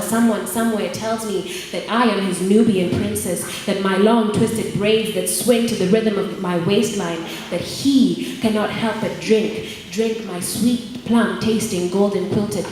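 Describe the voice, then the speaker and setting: A young adult woman from Kenya performing spoken word poetry in a measured, rhythmic way, repeating her phrases. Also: mid-range voice, darkish tone. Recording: echoey hall with long reverb, room noise.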